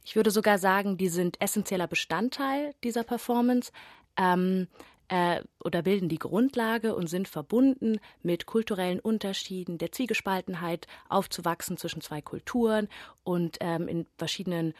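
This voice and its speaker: A woman's voice: on the low side, normal speed, no echo, studio quality. Young performer woman, thoughtful and emphatic. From Bavaria. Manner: thoughtful, many fillers, emphatic at times. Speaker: theatre performer. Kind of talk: radio interview answers.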